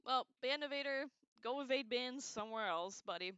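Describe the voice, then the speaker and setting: A woman hosting a livestream, casually reading aloud and breaking off into irreverent, sarcastic, slangy commentary in an expressive way. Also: high voice, normal speed, clean tone, dry recording, muffled recording. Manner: expressive, animated asides. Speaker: livestream host.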